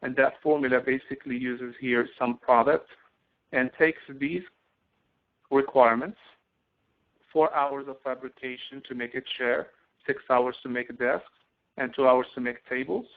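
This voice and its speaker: The recording is thin and narrow, like a phone line. A man with a medium-pitched voice gives a step-by-step tutorial over a screen capture. He explains in short, halting phrases and often restates himself as an instructor would. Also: steady tone.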